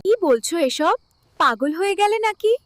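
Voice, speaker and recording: A woman's voice: very high-pitched, lively intonation, normal speed, soft background music. A woman voicing an affectionate, easily hurt young village bride in a cartoon fairy tale. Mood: hurt, questioning.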